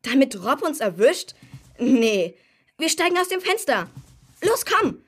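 A woman's voice: high-pitched, very animated, a little slow. A woman playing a cheeky young boy superhero in a children's radio play, casual and childlike. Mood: brisk, confident. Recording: music behind.

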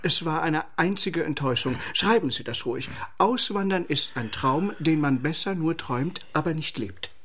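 A man's voice: on the high side, lively intonation, moderate pace, hardly any pauses, a bit bright, husky, exaggerated voice. Guarded, bitter middle-aged father. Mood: evasive, bitter. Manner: curt, evasive. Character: guarded, bitter father, disappointed returned emigrant. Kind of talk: German-language radio play acting.

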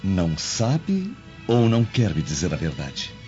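An actor playing an imperious, menacing Roman guard in a radio drama, speaking formally like an interrogator. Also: male voice, deep voice, huge pitch swings, measured pace, darkish tone, full character voice. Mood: officious, probing. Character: arrogant, menacing Roman guard, male, impatient. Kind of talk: Brazilian radio drama acting. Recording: dull lo-fi audio, music behind.

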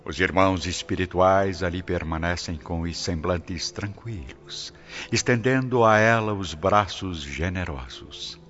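A man with a Brazilian accent giving solemn, measured, scripted narration for a radio drama. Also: low voice. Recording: dull lo-fi recording with music bed. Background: soft music.

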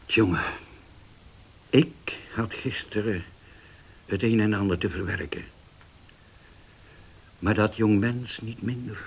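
An actor playing a grave older uncle in a radio drama, deliberate and halting, once vengeful and now forgiving. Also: male voice, fairly low pitch, creaky, background noise. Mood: soothing, troubled.

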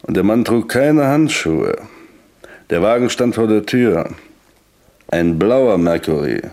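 An actor playing a menacing, falsely polite gangster in a 1960s German radio play, mocking and threatening. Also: male voice, fairly low pitch, expressive, slow, darkish tone. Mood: cold menace. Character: menacing, sneering male gangster intimidating a shopkeeper.

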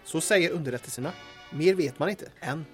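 A man's voice: a little high, fairly bright tone, soft background music, natural voice. An actor playing a cheeky, chatty male intelligence informant in a radio drama, speaking in wry, teasing banter.

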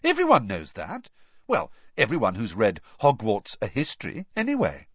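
A man's voice: mid-range voice, wildly swooping pitch, moderate pace, a bit bright, breathy edge, no room sound, highs cut off, pitched above the performer's own voice, heavily acted. A man voicing an earnest teenage schoolgirl in an audiobook, sniffy and matter-of-fact as she lectures. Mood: earnest, explaining. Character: earnest, know-it-all teenage schoolgirl, quick to indignation.

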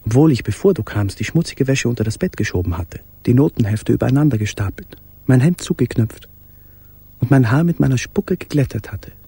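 An actor playing a brooding, tender musician in a literary radio drama, speaking in an intimate, measured way with a husky voice. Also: male voice, medium pitch, animated, mellow, dry recording. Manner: intimate, measured, sometimes dropping to a whisper. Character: brooding, tender male musician writing to his beloved. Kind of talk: literary radio drama monologue with recited verse.

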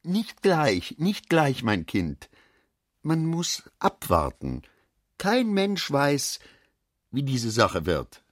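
Husky, animated worried father. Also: mid-range voice, extremely slow, no room sound, studio quality, full character voice. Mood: worried, cautioning. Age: middle-aged. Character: worried middle-aged father, shrewd businessman. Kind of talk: German radio drama acting.

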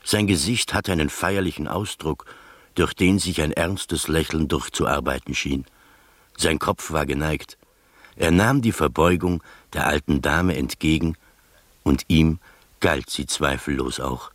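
Deep-voiced gentleman storyteller, dry sound. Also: no room sound, heavily acted. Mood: bemused, recounting. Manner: measured, literary storytelling. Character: gentleman narrator recounting his strange adventures, bemused, literary. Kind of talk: scripted narration in radio drama.

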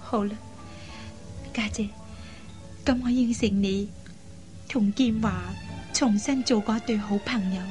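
A woman's voice: mid-range voice, fairly even intonation, measured pace, pauses often, over music, close to an everyday voice. A woman playing an earnest, reluctant young sister in a Cantonese radio drama, speaking hesitantly in short phrases. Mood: hesitant, yielding.

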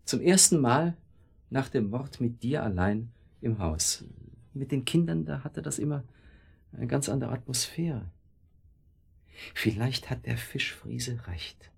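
A man acting a middle-aged, irritable and evasive husband in a German radio play, speaking in a sardonic, halting way. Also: mid-range voice, expressive, gravelly, a little mellow, husky, heavily acted. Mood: brooding, haunted.

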